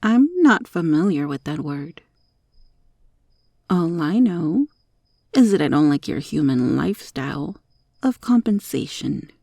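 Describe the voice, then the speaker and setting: Slow, creaky monster roach girl, acted by a woman. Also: deep voice, expressive, mellow, no echo, studio quality, full character voice. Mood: puzzled, haughty. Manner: slow, coy, prim formal phrasing. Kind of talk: ASMR roleplay.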